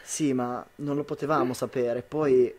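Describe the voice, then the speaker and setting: A man's voice: mid-range voice, fairly even intonation, a little slow, a little mellow, natural voice. Student playing a man, troubled disbelieving husband. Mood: earnest, troubled. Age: young adult. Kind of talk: amateur radio drama acting.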